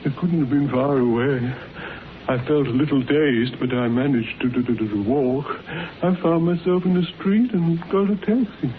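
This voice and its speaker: A man plays a bewildered, dazed radio-drama character with a fairly high voice. He speaks haltingly and with agitation. The vintage recording is muffled with light hiss. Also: lightly acted. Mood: dazed, agitated. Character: dazed, bewildered man recalling a strange blackout. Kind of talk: classic radio drama acting.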